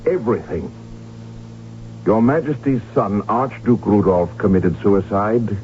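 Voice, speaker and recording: Actor as a deep-voiced, proud royal heir. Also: male voice, a little slow, slight background noise, natural voice. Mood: defiant. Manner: intense, formal, declamatory. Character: proud, desperate, defiant middle-aged male Habsburg heir. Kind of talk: 1970s radio drama acting. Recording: tinny, humming old radio recording.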